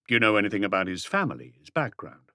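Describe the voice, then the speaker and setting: Casting: male reader as composed male detective in crime audiobook, lowish voice, persistent terse questioning, dry intimate sound. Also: wildly swooping pitch, fast talker, gravelly, pushed and bright, no echo, full character voice. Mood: insistent. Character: composed, probing male French police detective. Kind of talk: audiobook character dialogue.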